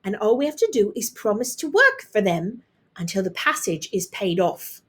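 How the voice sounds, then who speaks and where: A woman voicing a brisk, chatty neighbour woman in a storybook, emphatic and matter-of-fact. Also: mid-range voice, very animated, husky.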